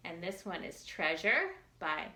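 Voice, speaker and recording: A woman storyteller leading a children's storytime, with an animated, sing-song, encouraging way of talking and questions to young listeners. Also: lowish voice, measured pace, a little hiss or hum.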